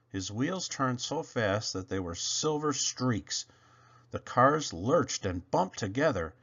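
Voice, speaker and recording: A man's voice: medium pitch, fairly bright tone, husky, highs cut off. A man reading a children's storybook aloud as narrator, emphatic and drawing out key words. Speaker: storybook reader.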